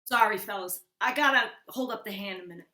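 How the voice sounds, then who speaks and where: A woman playing a wisecracking, henpecked working man in a slangy, animated 1950s radio-drama style. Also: mid-range voice, quick pace, lightly acted. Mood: joking.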